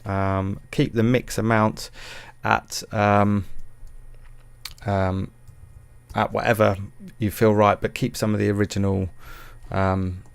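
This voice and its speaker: A man with a fairly low, clean and resonant voice, recorded dry and close. He talks through a software tutorial casually, rambling and hesitating as he searches for words. His manner is informal and self-deprecating. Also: flat delivery, slow pace, creaky, clear, resonant tone, dry recording. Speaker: music production tutorial presenter.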